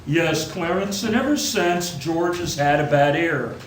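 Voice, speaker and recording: A man in a radio play acting a calm, authoritative senior angel who tells the story in measured tones. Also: on the high side, a little hiss or hum, natural voice. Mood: calm, instructive. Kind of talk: stage radio play narration. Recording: echoey theatre, faint music behind.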